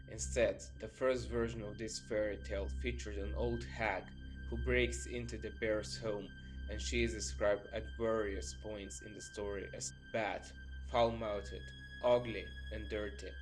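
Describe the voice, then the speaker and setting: A man's voice: lowish voice, poor audio. A man reading scripted, documentary-style narration as a storyteller. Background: background music.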